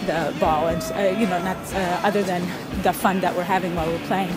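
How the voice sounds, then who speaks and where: A woman in her thirties from Ethiopia, telling stories in a casual interview with thoughtful pauses and soft laughs. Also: on the low side, steady tone, over loud music. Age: 30s. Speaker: interviewee, pickup soccer player.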